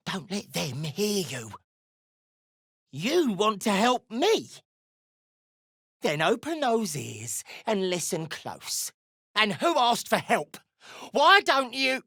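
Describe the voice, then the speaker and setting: A defiant little gnome woman from a fantasy game. Her pitch swings widely and her pace is measured and slow. She is blunt and scornful, in a close, dry studio sound. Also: a bit bright, slightly rough, dry recording, heavily acted. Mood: low, grim, then suspicious, defiant. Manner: blunt, scornful, curses freely. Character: gruff, foul-mouthed female deep gnome, defiant and suspicious. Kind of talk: video game character dialogue.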